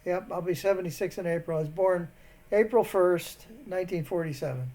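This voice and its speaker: A rambling man with a notably high voice keeps a phone scammer busy. He wanders off mid-sentence and grows indignant at instructions he finds senseless. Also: a little fast, warm tone. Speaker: scambaiter posing as an elderly bank customer. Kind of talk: prank phone call.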